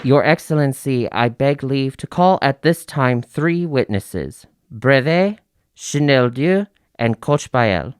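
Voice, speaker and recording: A man's voice: medium pitch, animated, a little slow, smooth, lightly acted. An actor playing a male court prosecutor in a radio drama, speaking in a formal, official manner.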